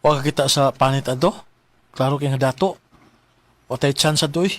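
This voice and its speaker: A man's voice: mid-range voice, brisk, dry recording, lightly acted. A man playing a modest, dutiful young student with a Cebuano accent in a Philippine radio drama. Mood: resigned.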